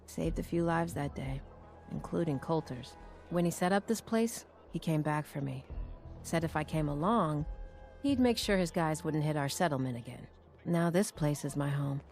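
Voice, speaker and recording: An actress playing a nervous, deferential doctor among raiders in a video game, speaking hesitantly. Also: female voice, deep voice, a little mellow, soft background music. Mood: matter-of-fact. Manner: hesitant, halting. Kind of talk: video game character dialogue.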